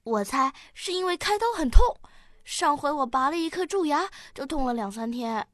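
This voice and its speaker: Radio drama granddaughter, played by a woman: quick-witted child, lively high voice, close dry sound. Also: dry recording. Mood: curious. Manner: eager, questioning, childlike.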